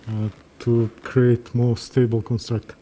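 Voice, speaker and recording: Slow, dark-voiced man. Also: mid-range voice, slight background noise. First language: Kazakh. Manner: calm, halting, thinking aloud step by step. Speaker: surgeon. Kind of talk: live commentary during a streamed procedure. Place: operating room.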